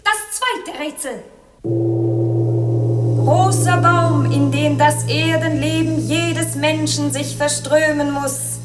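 Imperious high-voiced princess in a radio play. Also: female voice, fairly even intonation, roomy sound, loud music behind the voice. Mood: solemn, commanding. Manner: declamatory, solemn, deliberate. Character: proud, imperious young Chinese princess posing deadly riddles. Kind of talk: classical German radio play acting.

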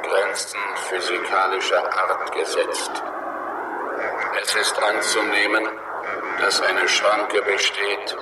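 A man playing a vast, calm, superior alien cloud in a 1960s radio play, speaking in a measured, formal way. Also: on the low side. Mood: detached, measured. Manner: slow, measured, formal delivery. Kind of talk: 1960s German science fiction radio play acting. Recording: distant echoey voice under loud radio noise.

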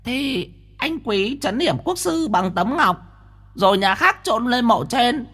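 Woman doing breathy high medium girl, gossipy, jumpy. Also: expressive, takes it slowly, a bit bright, heavily acted. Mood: fearful. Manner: chatty, gushing, easily frightened. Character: chatty young female spirit medium, jittery and superstitious. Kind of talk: audiobook character voice.

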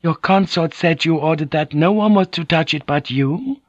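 A man's voice: a little high, moderate pace, dry recording, muffled recording, voice pushed up, lightly acted. A man voicing a polite, squeamish Japanese noblewoman who speaks courteously and hesitantly in an audiobook. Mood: apologetic, hesitant.

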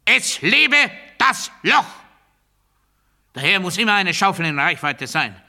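Sharp-voiced, obsessive doctrinaire. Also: male voice, high-pitched, big pitch swings, vocal fry, exaggerated voice. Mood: strident proclamation. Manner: deliberate, declamatory, swinging from hushed confiding to strident proclamation. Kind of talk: literary radio play monologue.

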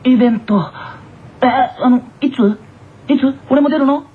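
A man voicing a bubbly, excitable schoolboy tennis player in an anime radio drama. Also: extremely high pitch, a little slow, husky, narrow, tinny audio, exaggerated voice. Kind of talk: anime character radio announcement.

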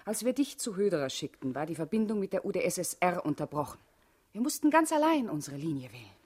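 An actress playing a guarded, urgent female party comrade in a German radio play, speaking gravely and deliberately with a husky, intimate voice. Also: lowish voice, big pitch swings, a little mellow. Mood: tense, explaining.

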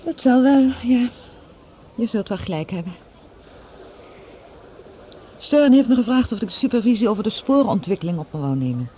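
An earnest, vulnerable woman of science voiced by an actress in a science fiction radio play. Her voice is quite high and gentle in tone, over faint background noise. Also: expressive, normal speed, long pauses, lo-fi sound, natural voice. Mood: hesitant, serious. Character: earnest, vulnerable female scientist on a Mars project. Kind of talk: Dutch science fiction radio drama acting.

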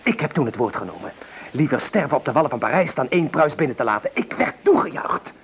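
An actor playing a young, hot-headed French revolutionary in a radio play, with an impassioned, scornful way of speaking. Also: male voice, medium pitch, sing-song, fast, creaky. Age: young adult. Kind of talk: Dutch radio play acting. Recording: noisy old radio play recording.

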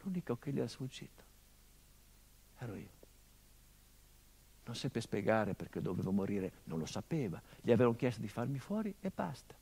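An actor playing a hardened, grieving middle-aged son, delivering a measured theatrical monologue that drops to hushed tones. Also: male voice, medium pitch, big pitch swings, creaky, slightly rough, heavily acted. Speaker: stage actor.

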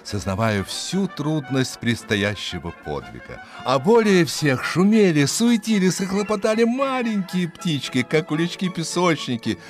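A man narrating an animal tale as a theatrical, expressive storyteller, reading from a script. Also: a little high, unhurried, hardly any pauses, soft background music.

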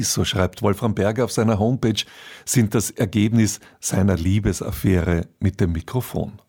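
A man's voice: on the low side, a little mellow, slightly rough. A man presenting on radio, reading a scripted introduction to a programme.

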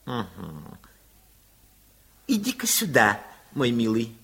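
An actor in a Soviet radio play playing an outwardly sweet, scheming senior councillor with exaggerated politeness. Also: male voice, mid-range voice, huge pitch swings, takes it slowly, creaky, bright, forceful tone, exaggerated voice. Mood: oily, gentle.

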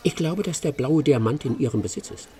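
An actor in a German radio play voicing a stern, self-assured English master detective, terse and dry, with a gravelly, husky voice. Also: male voice, medium pitch, animated, a little fast, mellow. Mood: dry, confident.

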